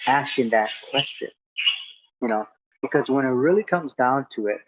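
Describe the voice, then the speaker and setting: A man from Hungary talks through his thoughts in an interview, pausing on fillers and restarting phrases. His voice sits at an ordinary pitch. The recording sounds muffled and lo-fi, with a faint hiss behind it. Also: lively intonation. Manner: reflective, repeats himself, many fillers. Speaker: guest speaker. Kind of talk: casual interview talk.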